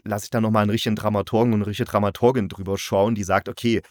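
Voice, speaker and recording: A man's voice: fairly low pitch, flat delivery, very fast, darkish tone, dry recording, clean studio sound. A man hosting a casual review conversation, thinking aloud in a colloquial, rambling way. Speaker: podcast host and audio drama reviewer.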